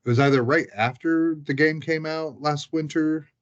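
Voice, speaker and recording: A man's voice: a little high, steady tone, moderate pace, muffled recording. A man co-hosting a podcast, talking casually in a rambling, self-correcting way. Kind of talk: casual chat.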